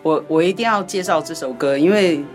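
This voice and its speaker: A woman's voice: deep voice, brisk, soft background music. A woman chatting casually as an interview guest, a scriptwriter who often repeats her short phrases. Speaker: guest, radio drama scriptwriter.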